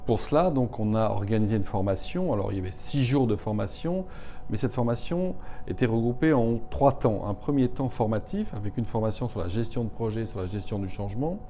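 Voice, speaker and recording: A man calmly explaining, step by step and in an orderly way, how a training program was built. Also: fairly low pitch, brisk, a little hiss or hum. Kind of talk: explanatory interview.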